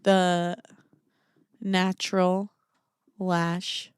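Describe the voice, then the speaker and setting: A woman's voice: on the low side, flat delivery, extremely slow, smooth, no echo, clean studio sound. A woman co-hosting a podcast, chatting in a playful, teasing way. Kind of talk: casual chat.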